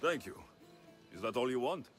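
An actor with a Greek accent playing a confident, wry ancient Greek mercenary in a video game, dry and measured. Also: male voice, medium pitch, animated, soft background music, close to an everyday voice. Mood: wry, curious.

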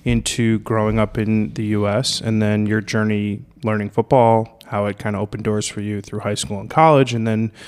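A man's voice: on the low side, flat delivery, moderate pace, hardly any pauses, clean tone. A man hosting a podcast, asking casual questions full of "like" and "kinda". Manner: friendly, fills with "like" and "kinda". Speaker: podcast host.

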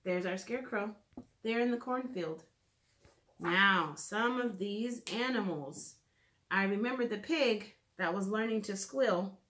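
A woman in her thirties, a children's teacher, talks gently and simply through a drawing lesson, thinking aloud, recorded in a living room by a camera a few feet away. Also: mid-range voice, measured pace, slight background noise. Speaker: children's art and reading teacher. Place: living room at home, indoors.

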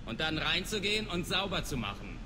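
An actor playing a dismissive alien mercenary guard in a video game, curt and contemptuous of humans. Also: male voice, high voice, big pitch swings, brisk, bright, forceful tone, full character voice. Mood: matter-of-fact. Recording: reverberant game scene with clear background noise.

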